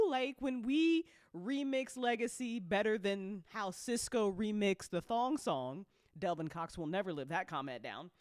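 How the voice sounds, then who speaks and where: A woman hosting a casual livestream, chatting informally with viewers in a playful, teasing, sweary and animated way. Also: medium pitch, dry recording, studio quality. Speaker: host of an audio drama network's livestream.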